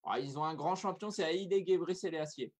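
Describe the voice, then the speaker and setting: A woman's voice: on the low side, moderate pace, a little mellow, breathy edge. Quiz host, a woman chatting casually. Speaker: quiz host on a youth radio-style talk show. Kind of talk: casual chat, hosting a food guessing game.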